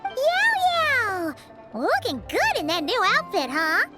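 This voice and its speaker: A woman voicing a tiny, excitable fairy companion in a video game, with a squeaky childlike voice and a bubbly manner. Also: slow, exaggerated voice. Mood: excited. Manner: bubbly, exclamatory. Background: music.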